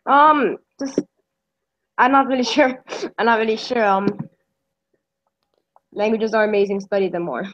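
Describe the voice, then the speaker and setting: A woman's voice: a little high, steady tone, unhurried, pauses often, lo-fi sound. A woman taking part in an online language meetup who speaks hesitantly and searches for words. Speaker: meetup participant. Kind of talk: casual chat.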